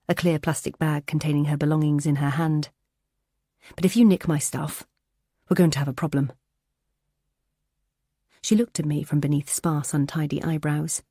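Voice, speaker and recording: A woman reading a first-person prison thriller audiobook in a measured, steady way. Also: low-pitched, dry recording, studio quality. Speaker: audiobook narrator.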